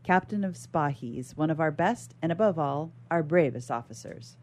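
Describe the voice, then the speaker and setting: A woman's voice: low-pitched, expressive, normal speed, a little hiss or hum. A woman reading a classic novel aloud as a guest on a radio show.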